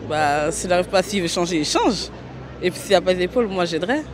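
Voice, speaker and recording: A woman's voice: on the low side, expressive, brisk, a crowd in the background. A woman answering a street interview outdoors, easygoing and thoughtful. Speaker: passerby interviewee. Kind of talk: casual street chat. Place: outdoor city square. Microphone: host's handheld mic.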